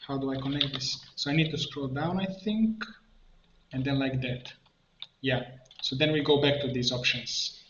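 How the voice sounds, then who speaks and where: A man presenting an improvised software tutorial, thinking aloud haltingly as he works at a computer. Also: mid-range voice. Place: indoor room at a computer. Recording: dull, echoey room, clear background noise. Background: keyboard typing and mouse clicks.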